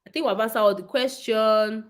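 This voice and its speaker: A woman's voice: fairly high pitch, steady tone, moderate pace. Woman answering livestream questions. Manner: emphatic, repetitive, reads questions aloud then answers. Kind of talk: casual livestream Q&A.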